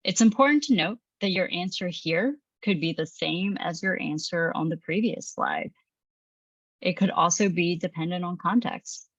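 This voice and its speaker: A woman in her thirties reading a training presentation in a calm, measured, reflective way. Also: lowish voice, dry recording, highs cut off. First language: English. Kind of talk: scripted training narration.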